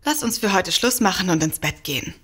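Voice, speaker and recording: A woman's voice: lowish voice, expressive, brisk, noisy background, close to an everyday voice. A woman playing a defiant, wry novelist sister in an audio drama. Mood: reassuring. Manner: confident, wry. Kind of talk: German audio drama acting.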